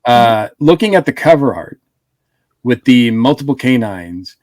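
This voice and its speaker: A man's voice: medium pitch, sing-song, a little slow, darkish tone, no room sound. A man co-hosting a casual podcast review, rambling with lots of fillers and restarts. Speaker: podcast co-host.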